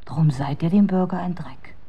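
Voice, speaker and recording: An actress in a German radio drama playing a bitter, tender outcast woman in a husky, breathy half-whisper, with archaic, poetic speech. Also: on the low side, moderate pace, dull audio. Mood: quietly bitter.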